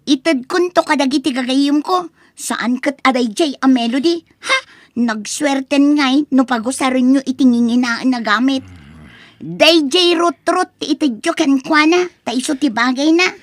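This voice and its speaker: A woman's voice: high-pitched, measured pace, no room sound, clean studio sound. A young woman voicing a spoiled college-student daughter who talks in a whiny, dismissive way. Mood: bratty. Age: young adult. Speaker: voice actor. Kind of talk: audio drama acting.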